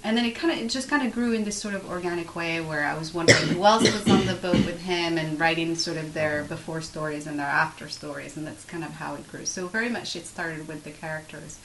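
A woman whose first language is Arabic answers interview questions about her writing, her voice sitting a little low. She speaks in a measured way and circles back to restart phrases. A faint hiss runs under the recording. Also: a bit bright, poor audio. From Morocco. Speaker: author being interviewed.

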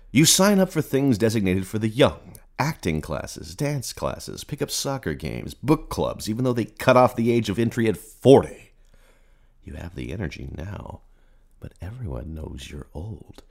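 A very deep, husky male narrator, acted by a man in a radio play. He is merciless and accusing, delivering literary second-person lines with theatrical emphasis and big swings in pitch. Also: very animated, a little slow, a little mellow, exaggerated voice. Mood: taunting, scornful. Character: merciless, taunting inner narrator addressing a fading middle-aged man.

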